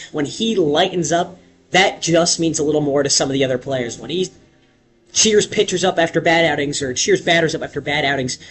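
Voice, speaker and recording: A young man calling baseball play-by-play in a radio commentary style, stretching big moments dramatically. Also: on the high side. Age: young adult. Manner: dramatic, drawn-out calls on big plays.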